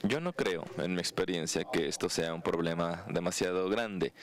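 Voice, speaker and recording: A man's voice: low-pitched, moderate pace. A man interpreting a lecture aloud, clause by clause.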